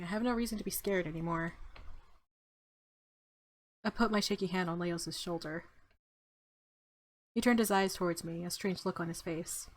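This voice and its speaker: A woman let's player reading fantasy visual novel narration aloud, with casual asides. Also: on the low side.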